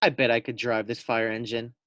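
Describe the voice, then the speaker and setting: A man's voice: medium pitch, level tone, fairly quick, fairly bright tone, dry recording, natural voice. Storybook guinea pig played by a man: small, eager, sure of himself, full of exclamations, dryly recorded. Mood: confident, excited. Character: eager, boastful little male guinea pig hero. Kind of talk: children's storybook read-aloud.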